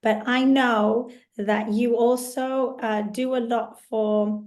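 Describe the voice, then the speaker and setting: Woman podcast host, a bit high, still worn from being sick, friendly, rambling, restarts a lot. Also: fairly even intonation, slow pace, a little mellow. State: recovering voice after illness. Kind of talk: casual podcast interview.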